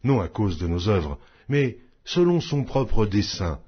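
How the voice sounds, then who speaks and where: Male Bible narrator, rough husky voice, widely swinging intonation, solemn and measured, declamatory. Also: lowish voice, sing-song, lo-fi sound. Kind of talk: solemn scripture reading.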